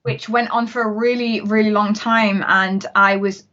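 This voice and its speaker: A woman's voice: mid-range voice, fairly even intonation, moderate pace, bright, forceful tone, lo-fi sound. A woman musician chatting as an interview guest, upbeat and storytelling with plenty of fillers. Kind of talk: casual video-call interview.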